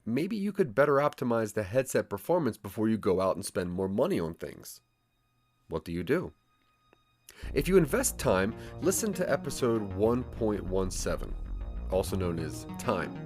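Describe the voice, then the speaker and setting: A man's voice: on the low side, very animated, normal speed, a little mellow, faint music behind. A man narrating a scripted second-person audio drama story.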